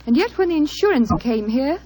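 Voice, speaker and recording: A woman's voice: high-pitched, very animated, fairly quick, warm tone, rough recording. A woman playing a genteel, exasperated wife in 1940s radio style, refined and melodramatic. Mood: anxious. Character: genteel, exasperated English wife, theatrical and wronged.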